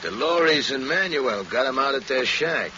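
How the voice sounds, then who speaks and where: A man's voice: mid-range voice, animated, normal speed, pushed and bright. An actor playing a tough, sneering henchman who slangily defers to his boss in an old-time radio crime drama. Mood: eager reporting. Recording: noisy, muffled vintage radio recording.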